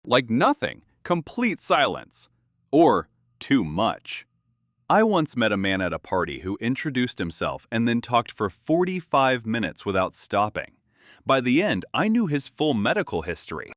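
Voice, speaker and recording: Lively, joking British man host. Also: mid-range voice, a little slow, no room sound. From London. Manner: dry, playful storytelling with lively jokes. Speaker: podcast co-host. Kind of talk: scripted English-learning podcast chat.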